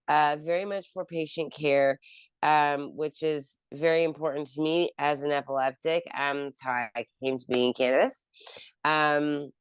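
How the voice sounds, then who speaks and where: Low-voiced woman talking in a rambling way. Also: smooth, no echo. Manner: rambling, heavy with "um" and "you know" fillers and restarts. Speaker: interview guest, cannabis company founder. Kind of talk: casual interview talk.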